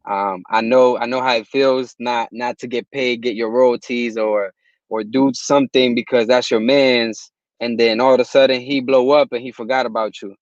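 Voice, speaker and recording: A man's voice: mid-range voice, normal speed, no echo. Casual man from Puerto Rico, dull sound. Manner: relaxed, street slang, many restarts. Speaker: guest, music distributor. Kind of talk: casual interview talk.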